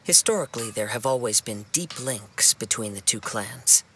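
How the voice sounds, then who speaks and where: A man voicing a humble, gentle young wandering samurai in a video game, speaking in a formal, measured and earnest way. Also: mid-range voice, expressive, a little hiss or hum. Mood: polite, composed. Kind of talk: scripted video game dialogue. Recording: game music behind the voice. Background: background music.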